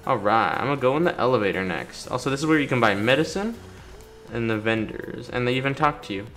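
Animated, chatty man commentating a video game. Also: medium pitch, fast talker. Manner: chatty, slangy, playful, talks to game characters. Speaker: gaming YouTuber doing a playthrough. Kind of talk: casual gameplay commentary. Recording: light game music behind. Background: video game music.

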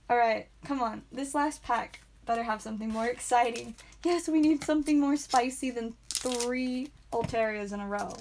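A woman hosting a live card pack-opening stream, chatting playfully and saying sound effects aloud, with a calming voice. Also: high-pitched. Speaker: trading card stream host. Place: indoor room with whiteboard.

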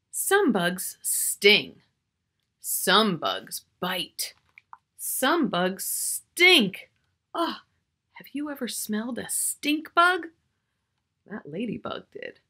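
Woman, middle-aged, children's read-aloud, bright forceful voice, unhurried pace, expressive, drawn-out words, asides to the listener. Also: mid-range voice. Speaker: children's author reading her book. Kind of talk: animated picture-book read-aloud for young children. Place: small furnished room at home, indoors.

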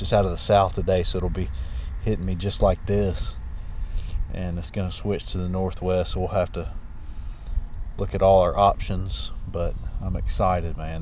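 Low-voiced man, outdoors with background noise. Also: darkish tone. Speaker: hunting show host. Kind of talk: casual outdoor hunting vlog. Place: outdoors in open country, and in a vehicle cab. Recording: noisy outdoor and vehicle ambience.

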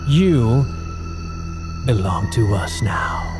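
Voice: mid-range voice, expressive, drawn-out words, over music. A performer voicing a gloating, formal otherworldly entity. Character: sinister otherworldly entity, mocking.

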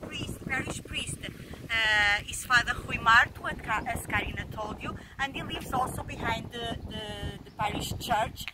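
Hesitant woman guide in her forties, slightly high voice, outdoor take with strong wind. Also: moderate pace. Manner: hesitant, full of fillers and restarts. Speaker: tour guide. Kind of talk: guided tour of local sites. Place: outdoors by a parish house in the sun. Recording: loud outdoor wind noise.

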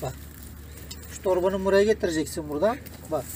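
A man's voice: sits high, a little fast, a little mellow, far from the mic, slight background noise. A man fishing from a small river boat, chatting eagerly and repeating himself as he talks to a companion. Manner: eager, repeats words, calls companion "abi". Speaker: fisherman and channel host. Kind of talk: excited casual fishing vlog chat.

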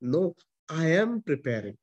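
A man's voice: fairly high pitch, sing-song, a little slow, a little mellow, lo-fi sound. A man in his forties with an Indian accent, a priest preaching a sermon over a video call from a small chapel, emphatic and repetitive. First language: not English. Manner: emphatic preaching, frequent repetition and restarts, gestures while talking. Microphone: webcam close up.

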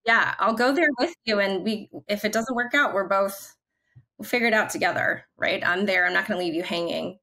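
A woman's voice: medium pitch, brisk, pushed and bright. A woman in her forties, a guest on a video-call interview, talking in an upbeat, chatty way with lots of fillers from her living room. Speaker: guest, creative brand leader. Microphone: video call, laptop camera.

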